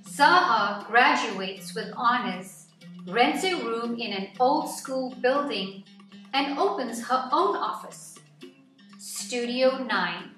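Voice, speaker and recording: A woman reading a nonfiction picture book aloud to children in an expressive, deliberate storytelling way, phrase by phrase. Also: on the high side. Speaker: children's story-time reader. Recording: echoey room, distant mic, music underneath.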